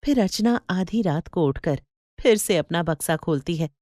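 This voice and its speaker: A woman's voice: fairly low pitch, expressive, normal speed, warm tone, no room sound, studio quality. A woman narrating a scripted cartoon fairy tale as a storyteller.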